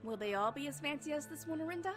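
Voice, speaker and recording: A woman's voice: sits high, expressive, quick pace, over music. A woman playing a bubbly young party-goer in a comic dub. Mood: excited.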